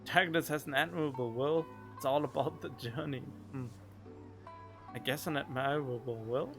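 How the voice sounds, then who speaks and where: A man's voice: medium pitch, moderate pace. A man doing casual gaming stream commentary, reading game text aloud and reacting to it. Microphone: close studio condenser microphone. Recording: game music behind.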